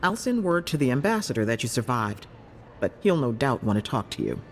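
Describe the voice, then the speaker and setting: An actor voicing a caring, inquisitive healer in a video game, with a measured, advising manner. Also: male voice, mid-range voice, very animated, soft background music, close to an everyday voice. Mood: reassuring, advising. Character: keen, caring clinic healer, intrigued by strange souls. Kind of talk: video game character dialogue.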